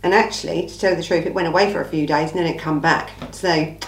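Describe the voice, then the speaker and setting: English woman, fairly low pitch, a bit croaky from being unwell, casual emphatic host chat, reverberant room. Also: fairly even intonation, quick pace. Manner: informal, emphatic, rhetorical asides. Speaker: true-crime channel host. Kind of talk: casual chatty Q&A monologue. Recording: echoey room.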